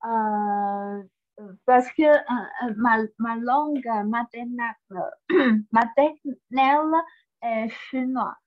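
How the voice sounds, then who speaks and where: A Taiwanese woman whose first language is Mandarin, speaking French slowly and hesitantly on a video call. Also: a little high. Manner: slow, hesitant French learner with many pauses. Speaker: interview guest. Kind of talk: casual interview chat. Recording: tinny phone-like line.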